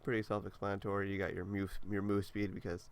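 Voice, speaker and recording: A young man talks in a relaxed, rambling way, filling gaps with casual fillers. His voice is congested and nasal. It sits fairly low and has a gravelly, fried edge. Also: fast. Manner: laid-back, rambling, many fillers. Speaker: gaming video creator. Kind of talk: casual walkthrough commentary.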